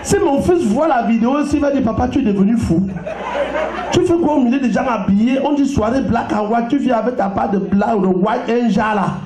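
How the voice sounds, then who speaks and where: A man from Cameroon doing an animated comic stage routine, shouting jokes with bits of broken English. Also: very high-pitched, a little fast. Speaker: stand-up comedian. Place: event hall at a gala. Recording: echoey hall with loud crowd.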